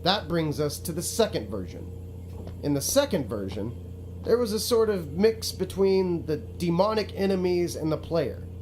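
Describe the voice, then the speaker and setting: A man's voice: on the high side, very animated, moderate pace, a little mellow, a little hiss or hum, low-quality recording. A male actor playing a self-pitying, pushy game developer who narrates his own video game in a theatrical, pleading way. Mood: explanatory, theatrical. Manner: pleading, self-justifying, dramatic.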